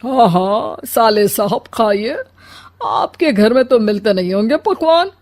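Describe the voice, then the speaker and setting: A woman's voice: fairly high pitch, expressive, a little slow, darkish tone. A woman voicing a teasing older father-in-law in a comic cartoon story, with a dry, needling delivery.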